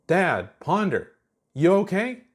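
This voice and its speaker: Audio story role: young monster daughter played by a man, raised pitch, folksy, caring, playful teasing. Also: big pitch swings, takes it slowly, slightly rough, full character voice. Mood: worried. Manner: folksy, teasing, says y'all.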